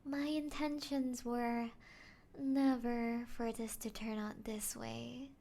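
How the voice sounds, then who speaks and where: A woman playing a taunting, playful female vampire in an ASMR roleplay, teasing the listener and often slipping into breathy whispers. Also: on the high side, level tone, takes it slowly, warm tone. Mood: smug, teasing.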